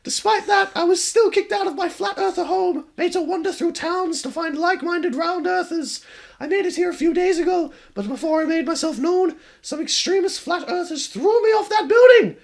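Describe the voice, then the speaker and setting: A man doing a high gentle ghost girl. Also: normal speed, vocal fry. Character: young female ghost girl, gentle and forgiving.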